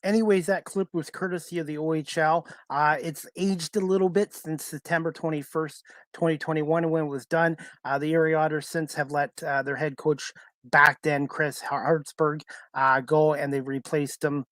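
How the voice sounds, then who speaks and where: A man's voice: a little high, steady tone, normal speed, fairly bright tone, no echo. A man hosting a sports talk show, chatting casually in a rambling, repetitive way.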